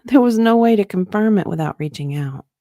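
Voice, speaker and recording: A woman's voice: mid-range voice, expressive, brisk, dark tone. A woman guest reading a witness's part in a deposition, with casual banter, some hesitation and giggles. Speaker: guest co-reader.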